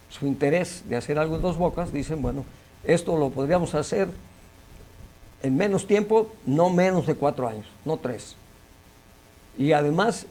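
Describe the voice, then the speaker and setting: An older man with a Mexican Spanish accent, an engineer being interviewed, speaking in a measured, emphatic way and repeating words as he searches for them. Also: a little high, gravelly, a little mellow, rough recording. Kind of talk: conversational interview.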